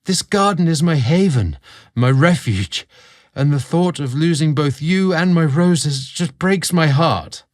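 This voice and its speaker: A man's voice: a little high, lively intonation, a little slow, voice pushed up. A man voicing an anguished, devoted young gardener with pleading emotion in an audio story. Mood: anguished, fearful. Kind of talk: audio storybook dramatic reading.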